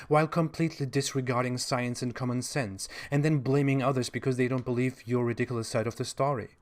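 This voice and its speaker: A man with a German accent giving critical commentary, full of sarcastic, mocking asides. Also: mid-range voice. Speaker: online commentator.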